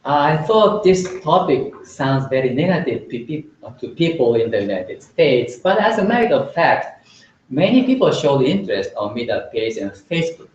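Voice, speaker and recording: A man from Japan, lecturing a small group in a hesitant, explaining manner with many filler pauses. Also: mid-range voice, big pitch swings, a little mellow. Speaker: Buddhist lecturer. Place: indoor meeting room. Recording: roomy, slightly dull, faint room hiss.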